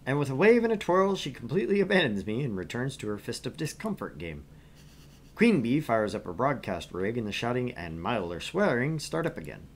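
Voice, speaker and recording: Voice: medium pitch, sing-song, brisk. A game streamer reading a visual novel aloud with casual, wry commentary. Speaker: gaming streamer.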